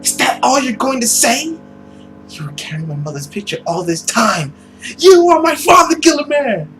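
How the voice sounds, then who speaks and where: A man's voice: very high-pitched, sing-song, moderate pace, a bit bright, soft background music, pitched above the performer's own voice, full character voice. Man putting on an earnest, pleading young heroine. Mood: anguished.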